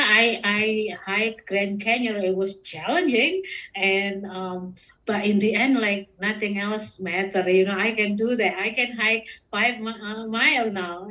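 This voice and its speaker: Woman, Indonesian accent, halting casual delivery, dull distant recording, middling pitch. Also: a bit bright. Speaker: podcast guest, security professional and student. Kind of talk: casual interview answers. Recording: muffled, distant microphone.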